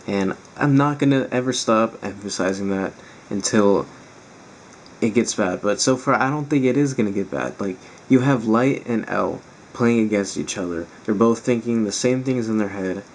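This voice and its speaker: A man hosting a reaction video, chatting casually and a bit ramblingly to viewers in a strained, raspy voice. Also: lowish voice, rough recording. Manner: rambling, informal. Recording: faint background noise.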